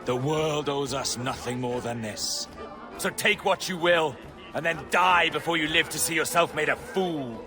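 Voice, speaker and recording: An actor playing a swaggering, mocking pirate captain in a video game, speaking in grand, taunting declamation. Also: male voice, fairly high pitch, unhurried, music in the background. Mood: rousing, grandiose.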